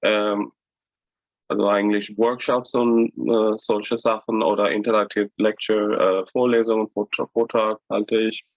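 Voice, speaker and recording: A man from Tamil Nadu whose first language is Tamil, introducing himself slowly and hesitantly as a workshop facilitator. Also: on the low side, flat delivery, no room sound. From Tamil Nadu, India. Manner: slow and hesitant, with many fillers and soft laughs. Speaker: workshop facilitator and political artist. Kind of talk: self-introduction. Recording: dry, dull lo-fi sound.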